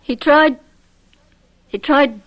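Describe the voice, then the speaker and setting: An actress playing a young, resigned farm wife in a radio drama, wary and pleading, with a hesitant, placating manner. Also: female voice, high-pitched, extremely slow, a bit bright, full character voice, rough recording. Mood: anxious, pleading.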